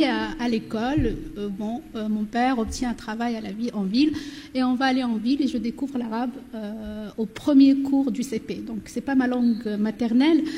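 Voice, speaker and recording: A woman's voice: fairly high pitch, mellow. A woman writer from southern Morocco, a native Berber speaker, answering a panel question in a reflective, personal way. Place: lecture hall. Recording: reverberant lecture hall.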